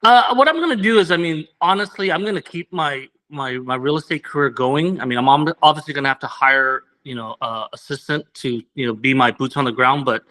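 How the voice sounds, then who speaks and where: A man's voice: medium pitch, brisk, hardly any pauses, pushed and bright, rough recording. A man whose first language is Vietnamese, a candidate earnestly answering interview questions with many restarts. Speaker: political candidate.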